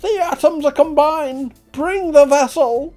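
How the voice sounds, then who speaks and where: Very high, excitable chanting eccentric. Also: male voice, measured pace, soft background music. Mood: fervent chanting. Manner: loud, energetic, insistent chanting. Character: excitable, over-keen chanting eccentric male, hungry to act.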